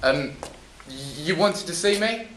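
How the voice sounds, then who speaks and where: Guy playing a stammering desperate worker, highish voice, pleading, echoey. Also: animated, slow pace, a bit bright, slight background noise. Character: desperate employee begging to keep his job, adult man. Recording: roomy echo.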